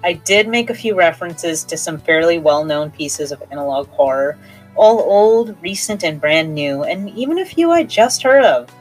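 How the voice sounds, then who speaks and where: A woman hosts a horror podcast in a playful, conversational style. Her voice sits a little low and moves in animated swings of pitch. Music plays faintly behind her. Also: normal speed, rough recording. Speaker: podcast host.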